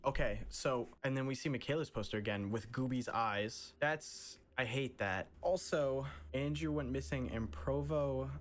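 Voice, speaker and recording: A man hosts a reaction video with a medium-pitched voice. He talks informally and analytically, working things out aloud as he comments. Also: measured pace, hardly any pauses. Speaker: host of a horror video reaction.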